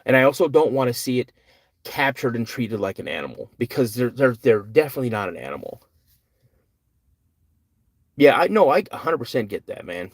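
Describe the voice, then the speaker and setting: A man's voice: mid-range voice, very animated, brisk, pauses often, no room sound, clean studio sound. An older man hosting a live podcast, reading and answering chat questions in a rambling, earnest, self-correcting way. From United States. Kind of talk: casual live-stream chat.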